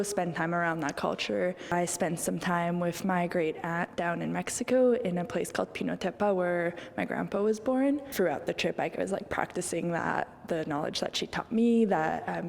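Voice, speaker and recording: A woman's voice: on the low side, gravelly, a little mellow, big-room sound. A young woman artist speaking casually and reflectively in an interview, often saying "like" and "kind of". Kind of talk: reflective interview talk about own art.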